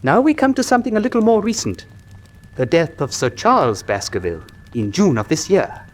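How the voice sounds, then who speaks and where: An actor playing an earnest country doctor who tells a grim tale gravely and articulately in a radio drama. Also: male voice, a little high, big pitch swings, fairly quick. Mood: earnest, factual.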